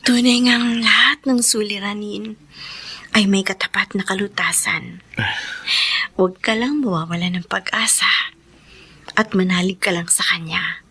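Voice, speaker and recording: An actress playing a happy, devout wife, tender and solemn, in a Filipino radio drama with a Filipino accent. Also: female voice, mid-range voice, fairly even intonation, unhurried, long pauses, sharp tone, husky, exaggerated voice. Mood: hopeful. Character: happy, devout young wife full of hope.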